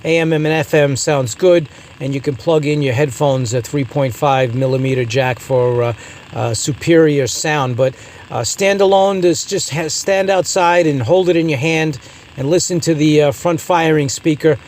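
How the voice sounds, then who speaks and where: A man's voice: a little high, moderate pace, darkish tone, slight background noise. An American man hosting a casual product review, talking through it informally as he goes. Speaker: host of a radio review channel.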